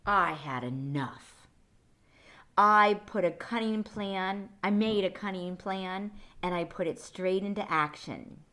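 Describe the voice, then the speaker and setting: A woman's voice: medium pitch, slow pace, sharp tone. A woman voicing a small furry male beast in a picture book, aggrieved, emphatic and theatrical. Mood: fed up.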